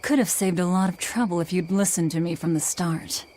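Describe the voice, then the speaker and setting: A woman's voice: lowish voice, brisk, a little mellow, a little hiss or hum, natural voice. An actress playing a grim, determined young woman in a video game, terse and commanding. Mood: dry, cool. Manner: terse, stern, cool. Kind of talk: English video game voice acting.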